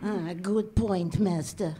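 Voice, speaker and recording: A woman voicing a grumbling, disfigured female lab assistant with a sullen, deadpan, blunt manner. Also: mid-range voice, takes it slowly, close to an everyday voice.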